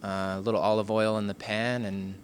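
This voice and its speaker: A man answering casually in a deadpan, tongue-in-cheek, hesitant manner. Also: fairly low pitch, fairly quick. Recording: faint background room noise.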